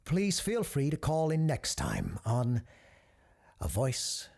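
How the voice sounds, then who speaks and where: A man's voice: mid-range voice, huge pitch swings, takes it slowly, gravelly, a little mellow, slight background noise, full character voice. An actor playing an earnest, cautious male host of a paranormal radio call-in show in an audio drama. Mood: puzzled, composed.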